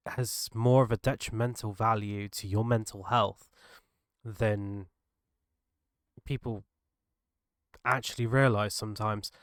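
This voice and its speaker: Gravelly British man, earnest podcast monologue. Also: lowish voice, no room sound, clean studio sound. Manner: earnest, halting, restarts mid-thought. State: gravelly from a cold. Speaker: podcast host. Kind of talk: solo reflective podcast monologue.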